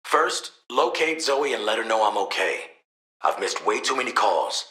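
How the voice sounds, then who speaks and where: Video game assassin: American, cynical and cocky, wry street slang, lively pitch swings, roomy sound. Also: male voice, moderate pace. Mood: subdued, sincere. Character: cynical, cocky male assassin and protective father. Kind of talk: video game character voice lines.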